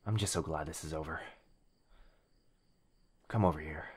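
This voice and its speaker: A man acting as a devoted young boyfriend in an ASMR roleplay, tender, intimate and unhurried yet firm. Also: very deep voice, a little mellow, breathy edge, pitched below the performer's own voice, heavily acted.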